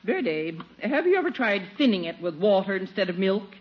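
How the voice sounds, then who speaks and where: A woman's voice: medium pitch, sing-song, brisk, close to an everyday voice. On a muffled 1940s radio comedy recording, a woman plays a middle-aged aunt. Her intonation rises and falls a great deal. She is polite and precise, yet prim and disapproving. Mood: bossy.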